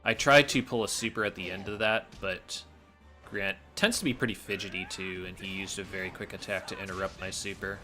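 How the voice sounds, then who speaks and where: A man's voice: low-pitched, steady tone, a little fast, bright, forceful tone, faint music behind. A man giving casual, analytical gameplay commentary as a speedrunner, explaining his choices.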